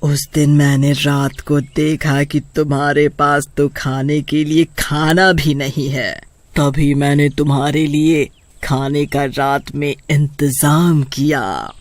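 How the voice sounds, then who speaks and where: A man voicing a kindly, helpful female witch in an animated horror story, with a slow, drawn-out delivery. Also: on the high side, no room sound. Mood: slow, reassuring.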